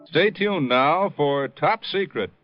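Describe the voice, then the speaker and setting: A man's voice: a little high, animated, slow. A man reading scripted announcements formally and emphatically as a 1950s radio announcer. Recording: dull 1950s radio broadcast with music.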